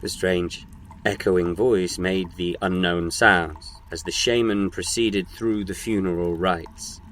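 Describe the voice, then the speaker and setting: A man narrating a scripted audio drama story in a solemn, measured way. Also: low voice, steady tone, a bit bright, slight background noise. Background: music.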